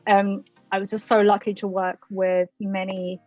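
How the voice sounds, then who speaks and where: A woman's voice: mid-range voice, flat delivery, measured pace, darkish tone, no echo, dull audio, faint music behind. Dry-sounding woman from China, hesitant. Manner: hesitant, many ums and restarts. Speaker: marketing consultant, guest. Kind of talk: interview answers.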